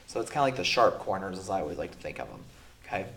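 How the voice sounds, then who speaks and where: A man's voice: deep voice, very animated, rapid-fire, gravelly, a bit bright. A man teaching a math lesson while sick, talking casually with lots of fillers. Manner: casual, filler-heavy explaining. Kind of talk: classroom lesson recording. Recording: echoey room, far from mic.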